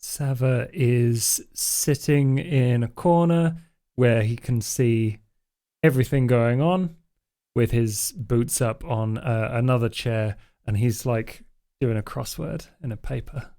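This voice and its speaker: Slow, mellow man describing a game character. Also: medium pitch. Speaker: tabletop game player. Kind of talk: improvised third-person character description.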